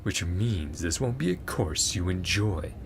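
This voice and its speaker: Man voicing an imperious, gravelly alchemy professor. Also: deep voice, animated, unhurried, background noise, voice pushed down, full character voice. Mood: dry, lecturing. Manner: formal, lecturing, exacting.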